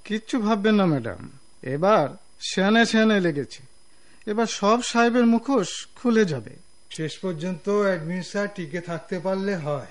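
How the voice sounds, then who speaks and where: A man with a Bangladeshi accent playing a timid, deferential junior office clerk in a radio drama, fretful and excitable. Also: high-pitched, normal speed. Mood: gossipy excitement.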